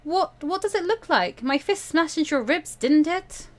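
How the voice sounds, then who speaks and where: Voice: high voice, expressive, normal speed, voice pushed up. A woman voicing an indignant, naive teenage student girl in a visual novel, flustered and dramatic. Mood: defiant.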